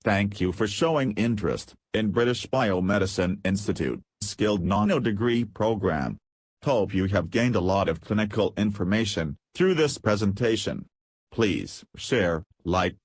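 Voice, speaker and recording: A man with a fairly low voice delivers scripted educational narration. He reads formally, as the presenter of a medical lesson. The sound is dry and close, with very little room echo. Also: lively intonation, a little slow.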